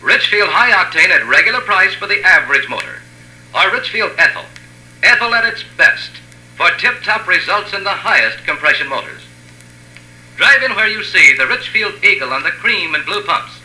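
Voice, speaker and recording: A male radio announcer delivers an emphatic, polished mid-century sales pitch. His voice is high and very bright, on an echoey old broadcast recording. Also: very animated, moderate pace. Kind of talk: 1950s radio commercial announcing.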